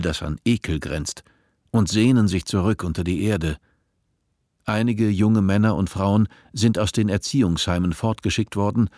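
A man narrating a radio play in a measured, literary and composed manner. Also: low-pitched, a little mellow, no echo, studio quality, lightly acted.

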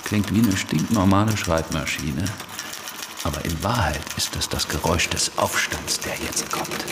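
A man's voice: low-pitched, vocal fry, full character voice. An actor playing a glib, scheming revolutionary father in a radio drama, with a theatrical manner. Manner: theatrical, dictating and breaking off. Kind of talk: German radio drama acting. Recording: music bed behind the voice.

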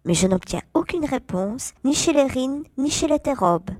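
Woman as a dutiful, higher-voiced medical assistant. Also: expressive, normal speed, darkish tone, no echo. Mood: apologetic. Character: dutiful female doctor's assistant in science-fiction adventure. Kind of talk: audio drama acting.

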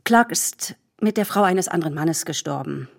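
A woman's voice: lowish voice, lively intonation, normal speed, vocal fry. An actress playing a bereaved young woman in an audio drama, wounded and guarded, hesitant yet stubbornly insistent. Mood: insistent, bitter. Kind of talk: German audio drama acting.

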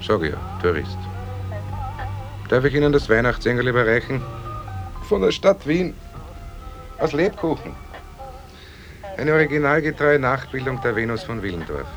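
An actor playing a droll, philosophising Viennese taxi driver in a radio drama, with a quirky deadpan manner. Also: male voice, mid-range voice, normal speed, pauses often, lo-fi sound, faint music behind. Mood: officious, droll.

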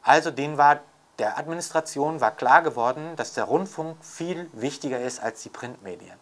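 A man's voice: on the high side, normal speed. An older man talking off the cuff as an interviewed author and scholar, reflective and emphatic, often adding rhetorical tags. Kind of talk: unscripted intellectual interview talk.

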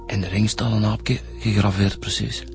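Easygoing, slightly low-voiced detective. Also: male voice, fairly even intonation, normal speed, gravelly, faint music behind. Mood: casual, slightly defensive. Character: easygoing male police inspector, junior partner. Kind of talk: radio drama acting.

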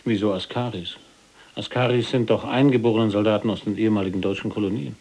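An actor playing an imprisoned resistance fighter who questions soberly and insistently in a husky voice in a radio drama. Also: male voice, lowish voice, fairly even intonation, fast talker, a little mellow. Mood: sober, curious. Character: imprisoned male resistance fighter, probing, principled, persistent.

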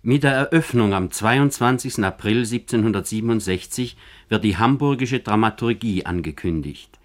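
Formal male narrator, slightly low voice. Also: moderate pace. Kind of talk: scripted biographical narration, German radio feature.

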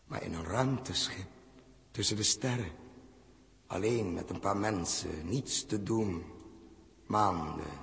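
A man's voice: on the low side, fairly bright tone, in a large hall, exaggerated voice. An actor playing a feverish, guilt-haunted man in a theatrical Dutch 1960s radio drama. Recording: muffled, rough 1960s radio recording.

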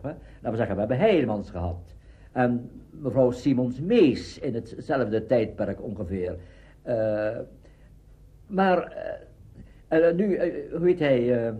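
A man speaking off the cuff in an interview, searching for his words and seeking agreement as he goes. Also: mid-range voice. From Netherlands. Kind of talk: spontaneous interview talk. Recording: dull lo-fi archive interview recording.

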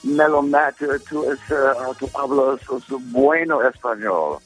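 A man's voice: mid-range voice, expressive, moderate pace, a bit bright, breathy edge, faint music behind, low-quality recording. A man calling in to a radio show, joking around and slipping Spanish words into his talk. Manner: playful, mixes in Spanish words. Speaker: caller. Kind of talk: joking call-in chat.

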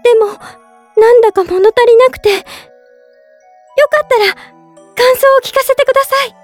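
A woman playing a gentle, polite young woman in love, narrating in character for a drama CD with sweet earnestness. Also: very high voice, moderate pace, faint music behind. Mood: flustered, hopeful.

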